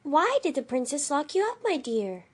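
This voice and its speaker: A woman playing a gentle, dignified girl ruler in a dramatized storybook, sweet, poised and firm. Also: high voice, sing-song, normal speed. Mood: kindly.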